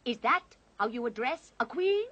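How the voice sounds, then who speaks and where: A woman playing an imperious, menacing witch queen in an animated fantasy, with grand, archly formal speech. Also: a little high, moderate pace, breathy edge, highs cut off. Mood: imperious outrage. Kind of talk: animated fantasy film acting.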